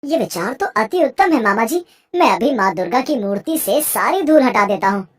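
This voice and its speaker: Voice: medium pitch, expressive, moderate pace, fairly bright tone. An actor voicing a devout, obedient young village man in a cartoon moral story, earnest and respectful. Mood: respectful wonder. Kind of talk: animated Hindi moral story acting.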